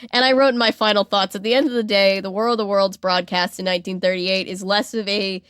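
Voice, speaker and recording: A woman's voice: medium pitch, steady tone, fast, a bit bright, smooth, dry recording, clean studio sound. A woman hosting a podcast, telling a story in a casual, chatty, informal way and acting out imagined reactions.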